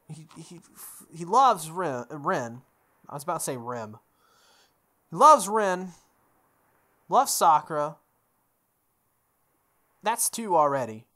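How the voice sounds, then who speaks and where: A young man reading a visual novel aloud as a let's play host, breaking into casual animated commentary. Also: fairly high pitch, slow pace, pauses often.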